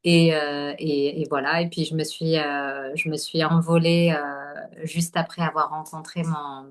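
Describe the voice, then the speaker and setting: Hesitant, low-voiced woman from Algeria. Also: flat delivery, unhurried. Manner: hesitant, many fillers and restarts. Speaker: podcast guest, holistic nutrition coach. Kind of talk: casual conversational interview.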